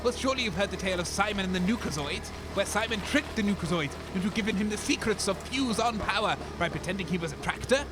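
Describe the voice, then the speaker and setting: An actor playing an excitable, fame-hungry radio DJ with a theatrical, grandiose way of talking in a comic audio drama. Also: male voice, sits high, quick pace, few pauses, noisy background. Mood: awestruck. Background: music bed.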